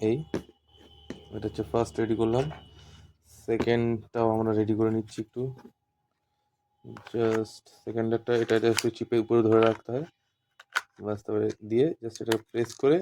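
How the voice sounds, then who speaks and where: A man's voice: fairly low pitch, level tone, moderate pace, long pauses, dark tone, slight background noise. A man giving an informal hands-on tutorial as an instructor, explaining step by step and repeating himself. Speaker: instructor demonstrating walkie-talkies.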